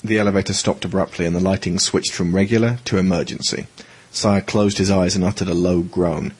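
Low, husky male narrator. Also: steady tone, a little fast, darkish tone. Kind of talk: scripted audio drama narration.

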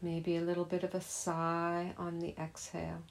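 A woman gently leading a guided meditation as a teacher, in a soothing, unhurried manner. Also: on the low side, monotone, vocal fry, a little hiss or hum.

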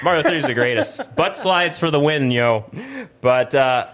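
A man's voice: on the high side, wildly swooping pitch, moderate pace, creaky, fairly bright tone, smooth. A man hosting a casual gaming talk show in an informal, slangy, encouraging way. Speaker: host.

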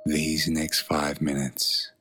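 A man narrating a guided meditation in a soothing, measured way, leaving long pauses between phrases. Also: extremely low pitch, monotone, pushed and bright, soft background music.

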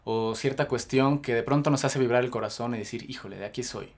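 Rambling Mexican podcast host. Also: male voice, mid-range voice, brisk. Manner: rambling, filler-heavy introductions. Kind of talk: casual podcast interview.

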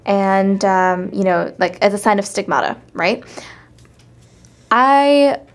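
A woman's voice: mid-range voice, lively intonation, slow pace. A woman telling a personal story as an interview guest, casual and emphatic, like a stage actress recounting an anecdote.

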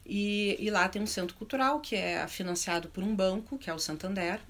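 A woman's voice: medium pitch, brisk, slight background noise. A middle-aged woman with a southern Brazilian accent, speaking unscripted in a panel discussion, reflective and often searching for words as she rephrases. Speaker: panel speaker on arts and culture.

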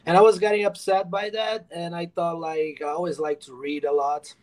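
A man's voice: a little high, level tone, moderate pace, darkish tone. Man, earnest and rambling. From Brazil. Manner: earnest, rambling with many fillers. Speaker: guest author. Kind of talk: casual podcast interview.

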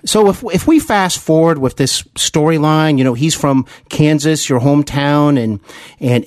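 A male podcast host with a mid-pitched voice, interviewing in an earnest, fan-like way. He often halts and repeats words as he builds up to a point. The sound is dry, with little room in it. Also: dry recording. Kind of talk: interview, enthusiastic fan recollection.

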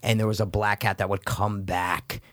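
A man's voice: fairly low pitch, level tone, moderate pace, a bit bright. A man in his early thirties, a podcast co-host telling loose, profane stories in casual chat. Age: 30s. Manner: loose, profane storytelling, repeats "no, no, no".

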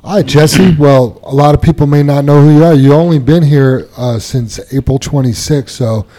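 A man's voice: a little high, moderate pace, warm tone. A man hosting a workplace podcast in a casual, conversational style. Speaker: podcast host.

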